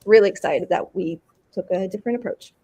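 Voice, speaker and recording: A bubbly woman speaks in a relaxed interview. She laughs easily and talks in quick, excited bursts at a middle pitch. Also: slight background noise. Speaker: actor. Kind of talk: casual interview chat.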